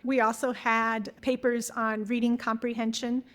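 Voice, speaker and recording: A woman's voice: fairly high pitch, flat delivery, unhurried, a bit bright. A woman guest editor explaining her special issue in an organized, enthusiastic way during an interview. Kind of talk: academic interview.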